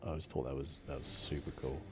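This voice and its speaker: Super low voiced guy rambling softly through an asmr playthrough, hesitant, game sounds clearly behind him. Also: level tone, quick pace, background noise. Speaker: gaming channel host. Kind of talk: relaxed ASMR game commentary.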